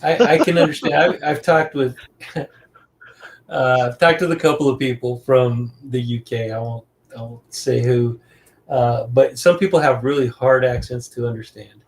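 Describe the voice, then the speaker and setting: A man's voice: medium pitch, fairly even intonation, moderate pace, vocal fry, a little hiss or hum. A man hosting a podcast, chatting in an easygoing way and often calling people "man". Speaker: podcast host. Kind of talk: casual interview chat.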